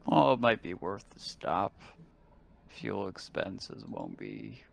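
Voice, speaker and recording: A man playing a tabletop game, talking casually in a halting, musing way, with faint noise on the recording. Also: low-pitched, huge pitch swings, gravelly.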